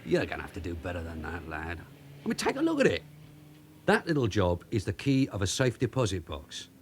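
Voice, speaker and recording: An actor playing a streetwise, bossy London detective sergeant in a radio drama, with a London accent and brisk, colloquial, teasing talk. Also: male voice, deep voice, huge pitch swings, a little hiss or hum. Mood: wry, bossy.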